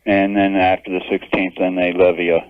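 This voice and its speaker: A man's voice: low-pitched, little pitch movement, fairly quick, clear, resonant tone, no echo. A man phoning a radio show as a listener, talking casually over the telephone. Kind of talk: casual phone call. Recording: narrow muffled phone line on radio.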